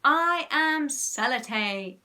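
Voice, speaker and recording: A woman's voice: sits high, sing-song, slow, bright, forceful tone, voice pushed up, exaggerated voice. A woman voicing a mischievous, boastful young boy who likes giving orders in a children's storybook. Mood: bossy. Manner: boastful, commanding. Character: mischievous, bossy young boy, gleeful schemer.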